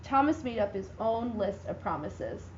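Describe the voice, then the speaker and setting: A woman giving a dramatic reading of a children's picture book, with lively, expressive delivery and voices for the characters. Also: mid-range voice, moderate pace, reverberant, highs cut off, slight background noise. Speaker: reader.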